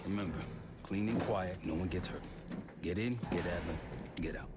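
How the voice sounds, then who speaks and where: An actor playing a commanding special operations soldier in a video game, with clipped, authoritative delivery. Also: male voice, deep voice, normal speed, very noisy, close to an everyday voice. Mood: terse, steely. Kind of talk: video game cutscene acting. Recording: very loud music over voice.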